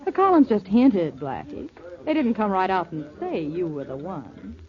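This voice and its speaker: Woman playing coy, animated girlfriend. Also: medium pitch, moderate pace, close to an everyday voice. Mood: coy, curious. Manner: coy, teasing, sweet-talking. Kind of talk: 1940s radio crime drama acting. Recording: muffled 1940s radio recording, crowd murmur.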